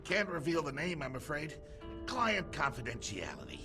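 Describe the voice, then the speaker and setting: A shady relic merchant in a video game, furtive and jittery. His voice sits high and jumps around in pitch, with music behind it. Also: big pitch swings, moderate pace. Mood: furtive, sly.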